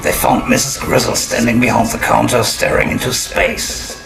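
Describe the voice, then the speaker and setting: An actor playing a ghoulish, gleeful horror storyteller in an audio drama, theatrical, drawling and taunting. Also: male voice, mid-range voice, flat delivery, normal speed, vocal fry. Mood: grim relish. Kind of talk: horror anthology narration. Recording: roomy echo, distant, music underneath.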